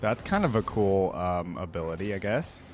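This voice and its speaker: A man's voice: deep voice, animated, normal speed, darkish tone. A man hosting a reaction video, commenting casually and reading story passages aloud. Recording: roomy echo, faint music behind.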